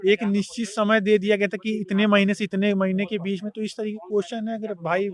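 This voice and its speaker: High-voiced, colloquial young man. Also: flat delivery, a little fast. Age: young adult. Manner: colloquial, peppered with buddy-style address terms. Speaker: exam candidate giving review. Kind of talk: casual chatty interview answers.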